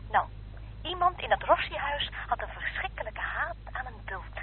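A woman's voice: high voice, animated, normal speed. An actress playing an inquisitive, emphatic woman reporting back in a radio play. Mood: urgent, confiding. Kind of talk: radio drama acting. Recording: thin, faint, noisy telephone-like radio recording.

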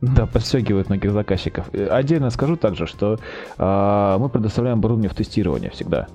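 Low-voiced man, halting explainer, music behind. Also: animated, mellow. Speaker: guest, telecom equipment maker's project manager. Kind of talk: explaining products in a podcast interview.

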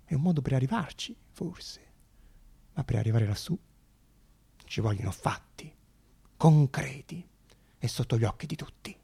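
An actor playing a small, idealistic man who pleads earnestly and haltingly in a hushed, theatrical radio monologue. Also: medium pitch, animated, vocal fry, slight background noise, voice pushed down. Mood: hushed pleading. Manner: hushed, halting, insistent, with whispered phrases.

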